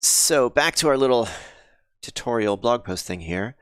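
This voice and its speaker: A man thinking aloud on a casual live coding stream, pausing with ums and chatting with viewers, his voice slightly scratchy and sniffly. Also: medium pitch, animated, a bit bright, smooth, dry recording, clean studio sound. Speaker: game developer streaming live.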